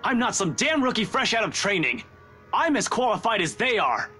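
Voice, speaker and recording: A man's voice: medium pitch, animated, normal speed, fairly bright tone, faint music behind, lightly acted. A man playing a determined, hot-headed young detective. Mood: indignant, frustrated. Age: young adult. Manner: insistent, argumentative, swearing. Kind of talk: visual novel voice acting.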